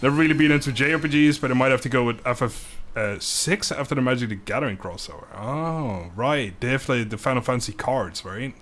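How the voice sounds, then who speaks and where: A man's voice: mid-range voice, a little fast, hardly any pauses, a bit bright, faint music behind. A young man from the Netherlands with a Dutch accent, chatting casually on a live stream, laughing often and stumbling over his words.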